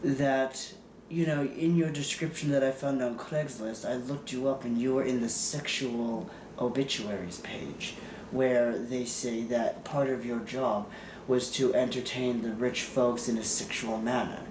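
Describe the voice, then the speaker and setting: A man's voice: medium pitch, fairly even intonation, noisy background, natural voice. A man playing a suspicious, wealthy client in an improvised comedy sketch, talking in a campy, theatrical way and calling people "darling". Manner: campy, drawn-out "darling" endings. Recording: roomy echo.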